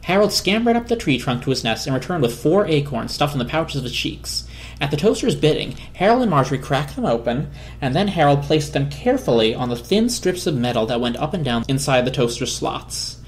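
A woman narrating a children's storybook as an expressive dramatic reading. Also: low-pitched, brisk.

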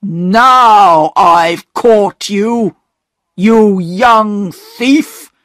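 Woman voicing a stern, slow-speaking station master. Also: lowish voice, lively intonation, no room sound. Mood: angry, accusing. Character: stern male station master scolding a young thief. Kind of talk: acted audiobook dialogue.

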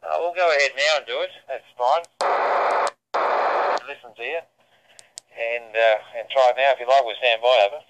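A man's voice: medium pitch, moderate pace, long pauses, a bit bright, slight background noise. A man heard over a two-way radio, chatting informally as an amateur radio operator. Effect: radio transmission. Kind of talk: unscripted ham radio exchange. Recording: dull band-limited two-way radio audio.

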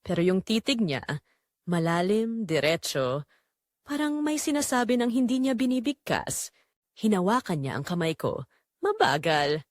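Woman narrating with lively, swooping pitch. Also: normal speed, pauses often, no echo, clean studio sound. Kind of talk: scripted first-person audio drama narration.

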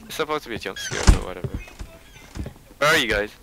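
A man's voice: lowish voice, animated, vocal fry, over a noisy crowd. A man in a voice chat calling out where the enemy is during a co-op horror game, with game music behind. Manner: quick, informative callouts. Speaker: co-op game player. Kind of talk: casual co-op gaming chat.

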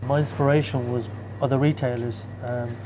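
Mellow-voiced man explaining his shop. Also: medium pitch, normal speed, slight background noise, poor audio. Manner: calm, explanatory, with many fillers. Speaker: shop owner. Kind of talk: on-site interview. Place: shop and community hall, indoors.

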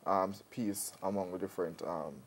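A young man explaining things in a measured, somewhat hesitant way as an interview guest. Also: deep voice, fairly even intonation. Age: young adult. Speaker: student leader, interview guest. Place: television studio. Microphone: lapel.

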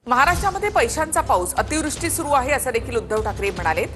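A woman's voice: sits high, expressive, fast, a bit bright, soft background music. A woman presenting scripted news headlines as an anchor.

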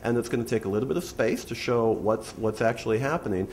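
A middle-aged man giving analytical remarks on a panel in a measured, deliberate way. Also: medium pitch, darkish tone. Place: indoor conference panel stage. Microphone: gooseneck table microphone. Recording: faintly noisy conference room recording.

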